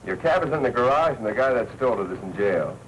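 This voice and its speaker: An actor playing a wry, shrewd newspaper editor with dry, clipped banter in a 1940s crime film. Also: male voice, mid-range voice, animated, quick pace, lightly acted. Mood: brisk, businesslike. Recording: noisy 1940s film soundtrack.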